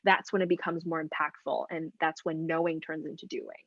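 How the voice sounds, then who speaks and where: A woman's voice: deep voice, flat delivery, a little fast, dry recording, lo-fi sound. A woman from China, a nutritionist guest on a podcast, explaining things thoughtfully in casual conversation.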